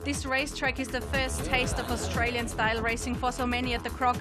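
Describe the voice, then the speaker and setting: High-voiced female race co-commentator. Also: level tone. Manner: brisk, informative delivery. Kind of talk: sports commentary. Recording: loud music bed under voice.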